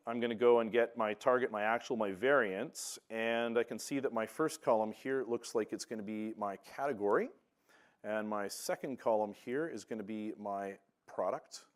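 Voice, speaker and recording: Man, conference stage demo, joking and upbeat, lapel mic, roomy hall. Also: mid-range voice. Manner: upbeat, joking, talks while clicking through steps. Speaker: presenter. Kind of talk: live software demo at a conference. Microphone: lapel mic, cutting in and out at first. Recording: roomy distant hall sound, noisy.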